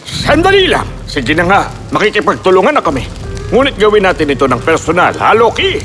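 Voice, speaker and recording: A man's voice: high-pitched, wildly swooping pitch, fairly quick, big-room sound, exaggerated voice. A man voicing a proud, suspicious dwarf craftsman in a fairy tale, with emphatic, theatrical delivery. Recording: loud background music.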